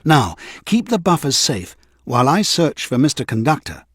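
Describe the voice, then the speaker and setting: A man's voice: medium pitch, sing-song, moderate pace, husky, dry recording, clean studio sound. A man voicing a friendly, earnest male tank engine in a children's storybook.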